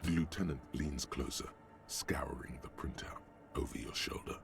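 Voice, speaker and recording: A man voicing the grave, measured narrator of a detective video game. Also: very deep voice, creaky, in a whisper.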